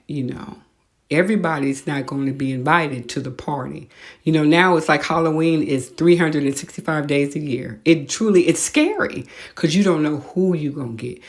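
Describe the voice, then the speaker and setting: Low-voiced American woman talking bluntly. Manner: animated, opinionated, blunt slangy talk. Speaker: podcast host. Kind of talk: casual conversational monologue.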